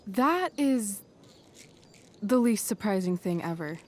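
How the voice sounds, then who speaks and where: A woman's voice: mid-range voice, expressive, slow pace. A woman acting a poised, teasing American teenage girl, a school play's leading lady, in a video game. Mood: wry, teasing.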